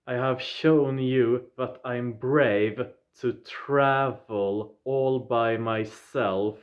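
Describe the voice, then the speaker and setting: A man of twenty-five with a Swedish accent, talking straight to his viewers in a plain, deliberate way, often opening with "yeah.". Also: mid-range voice, steady tone, highs cut off. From southern Sweden. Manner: deliberate, plain phrasing, starts points with "yeah". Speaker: vlogger. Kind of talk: casual personal recap to viewers.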